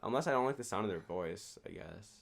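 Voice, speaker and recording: A man's voice: fairly low pitch, expressive, fast talker, creaky. Slightly nasal young man, joking co-host. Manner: joking, rambling, swears freely. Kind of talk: casual chat.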